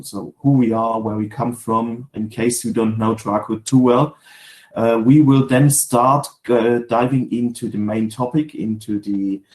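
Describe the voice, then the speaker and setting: A man with a German accent gives a webinar talk from his home office on a video call, in halting English with many fillers and restarts. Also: lowish voice, darkish tone, roomy sound, poor audio. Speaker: guest speaker, sales manager. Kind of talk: webinar presentation.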